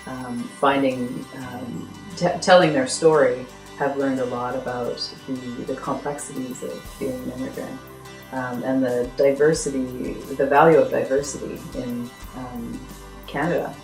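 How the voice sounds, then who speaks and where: Woman, very deep voice, earnest and emphatic, interview, slightly roomy, faint music. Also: fairly even intonation, a little slow, darkish tone. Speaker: youth arts project coordinator. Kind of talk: interview to camera.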